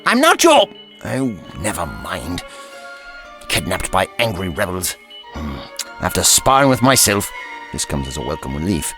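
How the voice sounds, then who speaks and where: An older man playing a haughty, sardonic time-traveling gentleman with old-fashioned courtesy in an audio drama. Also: low voice, huge pitch swings, a little slow, music in the background, exaggerated voice. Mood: flustered, indignant.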